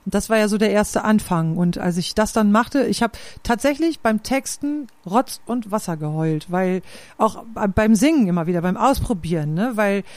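A woman's voice: medium pitch, lively intonation, moderate pace, a little mellow, dry recording. Reflective woman, dry close sound. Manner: reflective, searching for words with many fillers. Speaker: podcast host and singer. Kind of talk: casual conversation.

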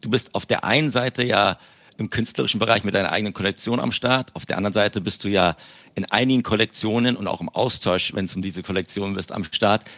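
Male co-host from Berlin, deep voice, cheerful banter, dry close mic. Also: fairly bright tone, dry recording. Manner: cheerful, joking, hesitant restarts. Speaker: podcast co-host. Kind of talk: casual podcast chat.

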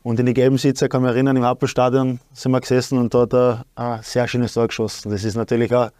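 A man's voice: medium pitch, little pitch movement, quick pace, dark tone, dry recording, studio quality. A man in his thirties with an Austrian accent, a guest talking in a hesitant way with many fillers and restarts. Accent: Austrian German. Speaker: guest, sporting director. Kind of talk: casual talk show chat.

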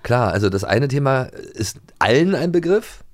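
A man's voice: medium pitch, very animated, normal speed. A man co-hosting a podcast in casual, chatty, unscripted banter, often chiming in. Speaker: co-host of an audio drama podcast.

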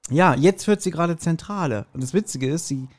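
A man's voice: on the high side, lively intonation, a little fast. A man of forty hosting a podcast in casual, joking, rambling German-style banter with lots of informal asides. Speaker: podcast host.

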